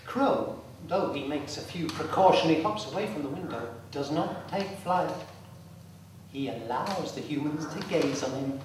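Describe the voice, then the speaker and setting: Male narrator for live story drama, slightly high voice, deliberate delivery, very reverberant and noisy recording. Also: lively intonation. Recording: distant, very echoey hall, clear noise.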